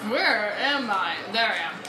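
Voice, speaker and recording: A woman's voice: medium pitch, expressive, a little slow. A woman chatting casually and playfully with a co-player during a recorded let's play. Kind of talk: casual gameplay chat with a co-player. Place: indoor room, filming a TV screen. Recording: echoey room with game music. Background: video game music.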